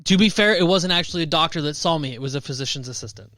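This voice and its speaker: A man's voice: on the high side, very fast, a bit bright, dry recording, clean studio sound. A man co-hosting a casual podcast, defensive and profane, protesting a friend's teasing. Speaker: podcast co-host. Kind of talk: casual banter. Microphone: studio mic with headphones.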